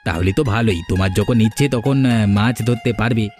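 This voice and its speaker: Man playing a fast-talking, nosy villager. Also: on the low side, darkish tone, faint music behind, lightly acted. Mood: offhand. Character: casual, nosy village man giving advice. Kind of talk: Bengali cartoon story dialogue.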